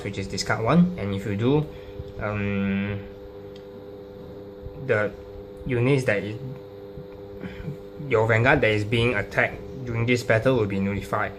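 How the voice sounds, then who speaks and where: A man informally explaining a card deck, halting and hesitant, often repeating his phrases. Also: on the low side. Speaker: trading card deck profile presenter. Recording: clearly noisy recording with hum.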